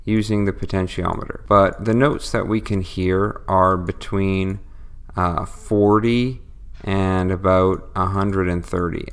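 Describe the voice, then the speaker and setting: A man with a low, gravelly voice walks through an electronics build step by step. He explains patiently and pauses to think aloud as he works. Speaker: electronics instructor. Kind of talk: step-by-step tutorial.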